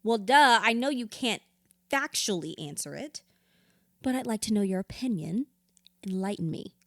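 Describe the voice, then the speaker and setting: A woman's voice: mid-range voice, animated, normal speed, a bit bright, no echo, clean studio sound. A woman acting in an audio drama as a grieving widow in therapy, wounded and candid, reflective with a wry edge. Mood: insistent.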